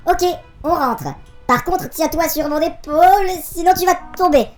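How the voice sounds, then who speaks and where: A woman's voice: very high voice, moderate pace, darkish tone, faint music behind. A woman voicing a caring, enthusiastic young granddaughter in a cartoon. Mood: eager, caring.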